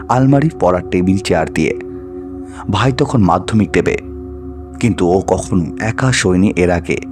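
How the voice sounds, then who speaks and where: A man narrating a scripted ghost story in an expressive, dramatized way. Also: lowish voice. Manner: expressive, acts out the dialogue of characters.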